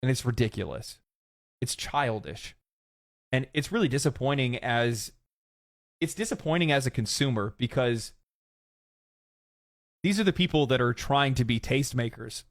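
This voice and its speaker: A man commenting on video games, opinionated and ranting, his voice hoarse and fading. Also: medium pitch, expressive, moderate pace, pauses often, no room sound, clean studio sound. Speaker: gaming commentator.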